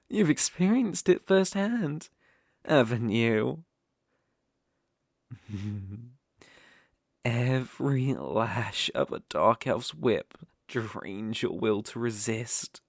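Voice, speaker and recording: A possessive, sadistic dark elf slave master with a British accent , acted by a man, made for intimate ASMR roleplay. He speaks unhurriedly, with a gravelly creak and a close, dry sound. His manner is taunting and condescending, lingering over every threat. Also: medium pitch, no room sound, full character voice.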